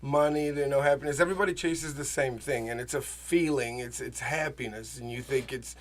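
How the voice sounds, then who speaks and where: A man from the Czech Republic, a native Czech speaker, talking casually as an interview guest, halting, repeating himself and searching for words. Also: mid-range voice, steady tone. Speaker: interview guest, small business owner. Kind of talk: casual, personal interview talk.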